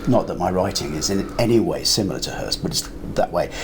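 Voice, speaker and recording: An older man talks casually as a writer on a panel. His voice is fairly low. Noticeable ambient noise surrounds him as he tells his anecdotes. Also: lively intonation, quick pace. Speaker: interview guest, crime writer and filmmaker.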